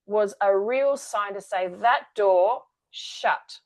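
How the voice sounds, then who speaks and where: A woman's voice: mid-range voice, slow pace. A woman, a guest telling her story candidly in an interview. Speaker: guest, energy-work founder. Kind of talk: conversational interview.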